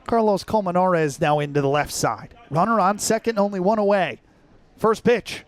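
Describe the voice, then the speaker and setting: A man's voice: high-pitched, moderate pace. A young American man calling baseball live as a radio play-by-play announcer, in clipped, descriptive phrases. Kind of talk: live sports commentary.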